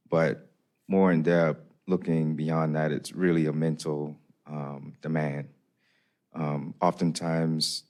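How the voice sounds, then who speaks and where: A man in his thirties, a community advocate on a panel, speaking thoughtfully with frequent pauses and ums. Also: rumbling low, darkish tone. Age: 30s. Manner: thoughtful, hesitant with many ums. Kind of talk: panel discussion.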